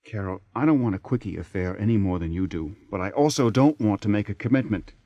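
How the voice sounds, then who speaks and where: An actor in a radio drama playing a persistent, impatient theater man, smooth-talking and sardonic as he presses his case. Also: lowish voice, expressive, fast talker, dark tone, no echo, highs cut off. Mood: persuading. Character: persistent, persuasive theater man seducing a married woman, impatient. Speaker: lead actor.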